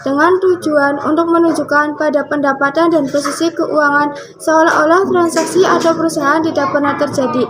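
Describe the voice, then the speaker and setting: A woman's voice: sits high, steady tone, fairly quick, over music, poor audio. A young woman, a student presenter, reading out a scripted lesson. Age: young adult. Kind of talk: scripted lesson narration read aloud.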